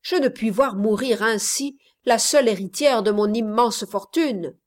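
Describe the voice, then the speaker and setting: A woman voicing a blustering, miserly old father who exclaims loudly and insistently, in a read-aloud story. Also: a little high, a little slow, lightly acted.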